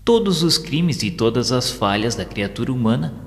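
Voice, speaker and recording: A man's voice: mid-range voice, sing-song, moderate pace. A man reading scripted dramatic narration in a solemn, formal, literary manner. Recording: long hall reverb, faint music. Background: faint music.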